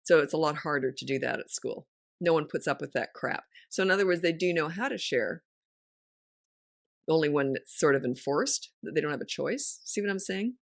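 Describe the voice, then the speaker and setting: A woman's voice: low-pitched, lively intonation, moderate pace, gravelly, no room sound, clean studio sound. A middle-aged woman, a parenting coach hosting a podcast, giving frank advice in a blunt, no-nonsense way. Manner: blunt, no-nonsense, laughs often. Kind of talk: casual advice chat.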